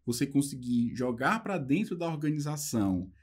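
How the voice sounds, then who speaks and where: A man's voice: mid-range voice, big pitch swings, darkish tone, slightly rough. Brazilian man teaching programming, easygoing. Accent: Brazilian Portuguese. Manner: talks loosely with fillers, slows down reading English passages. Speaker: programming instructor. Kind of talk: casual explanatory lesson, reading and translating a book.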